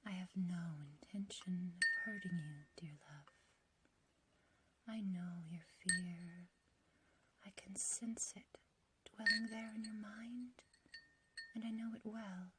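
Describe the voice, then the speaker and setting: A woman's voice: mid-range voice, steady tone, takes it slowly, pauses often, very noisy, lightly acted. A woman playing an ancient but youthful witch in a whispered ASMR roleplay, obsessively loving and possessive, with archaic, intimate phrasing. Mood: tender, yearning. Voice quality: breathy, whispery.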